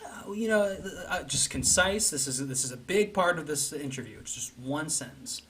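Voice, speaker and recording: A man's voice: fairly high pitch, lively intonation, moderate pace, a bit bright, husky. A man hosting a comedy show, bantering casually in a mock interview style. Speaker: host of a comedy audition show.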